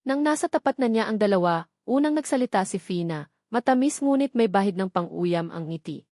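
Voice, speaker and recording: A woman's voice: medium pitch, animated, a little fast, darkish tone, no room sound. Filipino woman narrating a dramatic story, dry sound.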